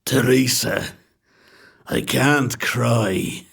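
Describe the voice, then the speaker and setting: Man acting a gravelly, despairing father, very slow. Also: medium pitch, pitched above the performer's own voice, heavily acted. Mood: strained despair. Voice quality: rough, creaky, husky. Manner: slow, flat, halting. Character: despairing working-class father, flat and grief-choked.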